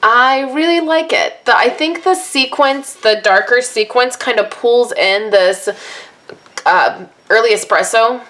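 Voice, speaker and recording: A woman with a slightly hoarse voice, chatting casually as she demonstrates card making at her craft table, rambling and apologetic at times. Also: fairly high pitch, a little slow, a bit bright. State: voice a little hoarse from allergies and little sleep. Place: home craft workspace, indoors.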